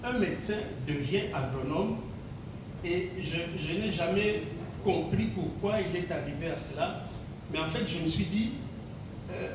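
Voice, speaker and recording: A man's voice: high voice, measured pace. A man in his sixties from Congo, a teacher giving a reflective gala speech, hesitant and full of fillers and repeated words. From Congo (DRC). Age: 60s. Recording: very echoey hall, distant mic, background noise.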